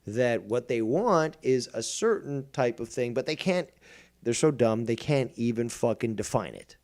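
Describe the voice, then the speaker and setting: A man runs his own livestream from behind a desk mic, pitched right in the middle. He talks in a combative, mocking way and swears freely. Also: expressive, measured pace, a little mellow. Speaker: streamer host. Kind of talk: livestream commentary.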